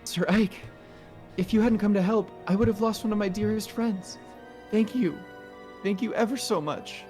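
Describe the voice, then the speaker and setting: A man voicing a young queen in game dialogue, gentle yet resolute, speaking formally and earnestly. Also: high-pitched, normal speed, faint music behind, voice pushed up, lightly acted. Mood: grateful. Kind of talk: game dialogue read aloud in a fan dub.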